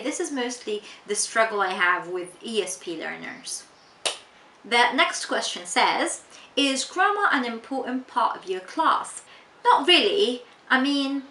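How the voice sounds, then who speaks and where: A young woman from Tunisia, not a native English speaker, working as an English teacher and answering interview questions in a measured, explanatory way with frequent ums. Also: mid-range voice, sharp tone. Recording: echoey room with faint noise.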